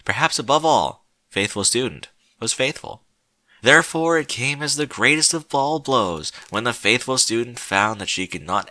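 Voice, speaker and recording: A man reading a short story aloud in an expressive, theatrical narrator's style with wry, emphatic phrasing. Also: fairly low pitch, no echo.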